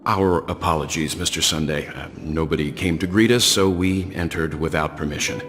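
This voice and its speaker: Seeking composed middle-aged traveler-investigator, video game. Very low register, formal, courteous delivery. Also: male voice, a bit bright, in a large hall, slight background noise. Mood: polite, diplomatic. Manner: measured, polite, formal.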